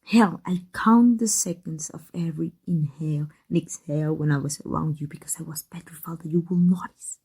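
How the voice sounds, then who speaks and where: A woman playing an embittered villain secretly in love with a rival, in an intense ASMR roleplay monologue, husky and breathy, often dropping to a whisper. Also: sits low, fairly quick, few pauses, dark tone. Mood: anguished confession.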